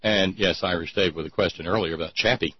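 A man's voice: sits low, fast, slightly rough, muffled recording. A man hosting an irreverent talk show, reading listener notes aloud with a mocking, sardonic edge.

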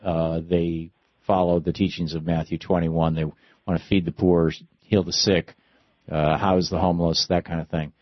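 A man's voice: deep voice, level tone, normal speed, dry recording, dull audio. An American man in his sixties hosting a radio call-in show in a conversational talk style. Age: 60s. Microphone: close studio microphone.

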